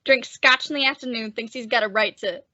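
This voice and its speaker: A woman's voice: on the high side, brisk, sharp tone, no echo, muffled recording. A woman playing a cocky, belligerent male barroom tough with a sarcastic, needling manner in an old-time radio detective drama. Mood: mocking.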